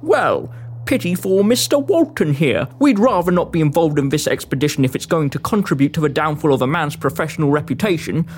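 A woman acts out a working-class male foreman in a comic period drama. He is apologetic yet firm and blunt, speaking in a lowered voice with noise behind. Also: animated, brisk, a little mellow. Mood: deferential, pitying. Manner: deferential, blunt.

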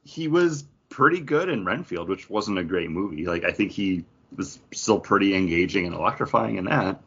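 A man's voice: sits low, animated, normal speed, fairly bright tone, breathy edge. A man co-hosting a podcast, giving his opinions in casual conversation. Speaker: podcast co-host. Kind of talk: casual chat and opinion on films and TV. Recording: dull lo-fi sound.

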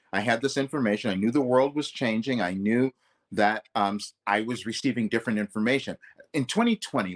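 A man, a vocal coach, talking earnestly in an interview, often correcting himself mid-sentence. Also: medium pitch. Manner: earnest, loose, self-correcting. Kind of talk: reflective interview talk.

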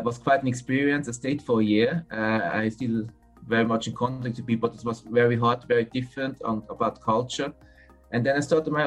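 A man with a Swiss accent speaks frankly as a podcast guest, often breaking off and restarting his phrases. His voice sits at an ordinary pitch, and faint music plays underneath. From Switzerland. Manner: frank, halting, restarts phrases mid-sentence. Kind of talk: casual interview conversation.

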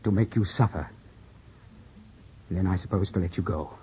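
An actor in a 1950s radio drama playing an earnest, brooding young gentleman, formal and intense in delivery. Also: sits low, moderate pace, breathy edge. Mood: accusing. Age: young adult. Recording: dull, muffled old radio recording.

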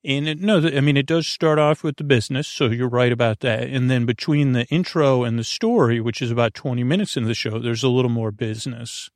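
A man with a creaky voice hosting a bedtime podcast, speaking in a lulling, gentle, meandering way, hesitating and drifting into tangents. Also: medium pitch, a little fast, hardly any pauses, dry recording, clean studio sound. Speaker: host of a bedtime story podcast. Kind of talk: rambling, soothing bedtime monologue.

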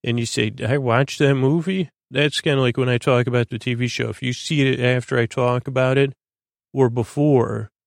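A man hosting a bedtime podcast in a creaky, lulling voice, droning along in a halting, stammering, meandering monologue meant to help listeners sleep. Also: mid-range voice, steady tone, dry recording, studio quality. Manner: halting, stammering, repeats and plays with words. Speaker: podcast host.

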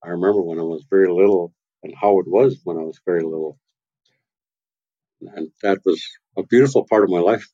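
A man in his sixties from Canada's Pacific coast speaks in a low, dark voice, unhurried and gentle as he passes on old teachings. The sound is dry and close, with a slightly muffled, lo-fi edge. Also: dry recording. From west coast of Vancouver Island, Canada. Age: 60s. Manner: slow, gentle, unhurried telling with soft chuckles. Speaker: guest, carver and elder. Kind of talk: reflective storytelling. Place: his home and carving workshop.

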